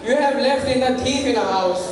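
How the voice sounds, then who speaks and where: A young performer plays an excitable, stern master of the house in an amateur stage play. The voice is high and comes from far off in a very echoey room with faint noise. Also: brisk, music in the background, lightly acted. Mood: stern, demanding. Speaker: student actor in a school play.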